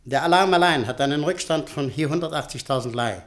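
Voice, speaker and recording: Casting: male actor as brusque, commanding newspaper man, slightly high voice. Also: fairly quick, a bit bright, close to an everyday voice. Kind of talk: radio play acting.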